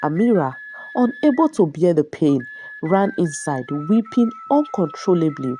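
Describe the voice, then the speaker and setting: A woman's voice: on the low side, big pitch swings, unhurried, warm tone, soft background music. A woman narrating a dramatic scripted story as a storyteller.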